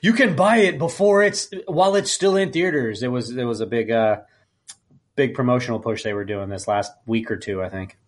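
A man's voice: medium pitch, brisk. A man co-hosting a podcast in loose, casual conversation. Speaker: podcast co-host. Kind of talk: casual chat.

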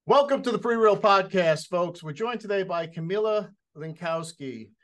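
A man's voice: high-pitched, animated, moderate pace, a bit bright, faint music behind. A man hosting a podcast interview in an easygoing, folksy way. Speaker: podcast host.

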